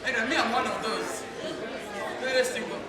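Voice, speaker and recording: A woman with a Ugandan accent emceeing a leadership summit in a hotel conference hall, loud and playful, calling out to the audience. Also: steady tone, normal speed. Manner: booming, playful, calls out to the room. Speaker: event emcee. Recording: echoey hall, mic from far off. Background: crowd of attendees.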